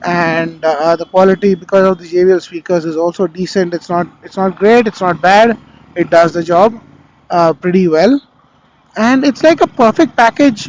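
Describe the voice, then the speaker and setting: A man's voice: high-pitched, poor audio. A man with an Indian accent gives a casual, rambling product review in a conversational way, speaking into a helmet intercom microphone. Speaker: motovlogger reviewing gear. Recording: helmet intercom mic with vehicle noise.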